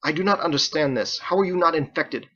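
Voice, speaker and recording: A man's voice: a little high, fairly quick, sharp tone, highs cut off, voice pushed up. A man voicing a stern, commanding woman, a ship's first mate, with clipped, formal speech for an audiobook. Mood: stern, baffled. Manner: clipped, formal, declaiming like in court.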